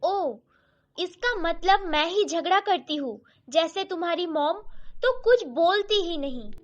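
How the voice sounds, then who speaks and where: Woman voicing a defiant, high-pitched young daughter-in-law. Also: expressive, measured pace, dull audio. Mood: irritated, defiant. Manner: argumentative, back-talking. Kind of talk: dramatized family story.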